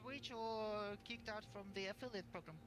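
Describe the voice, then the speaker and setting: Russian-accented woman with halting speech. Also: mid-range voice. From Russia or Ukraine. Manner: halting, many filler uhs. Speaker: flight sim pilot guest. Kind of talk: casual voice chat.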